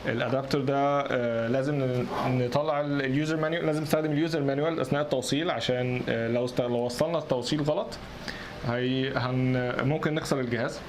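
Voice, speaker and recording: A man's voice: medium pitch, steady tone, rapid-fire. A man with an Egyptian accent giving informal technical instructions as a co-presenter, often stammering and restarting his words. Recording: noticeable ambient background noise.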